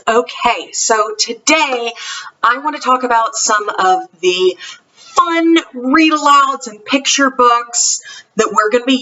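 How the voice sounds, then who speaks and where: A woman's voice: a little high, pushed and bright, distant mic, muffled recording. A woman talking casually and enthusiastically, like a homeschool vlogger reviewing books. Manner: chatty, enthusiastic. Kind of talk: casual vlog book review.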